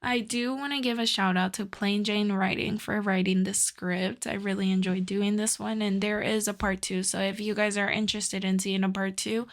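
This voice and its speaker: A woman's voice: mid-range voice, flat delivery, moderate pace, hardly any pauses. A woman, an ASMR channel host, chatting casually to her listeners.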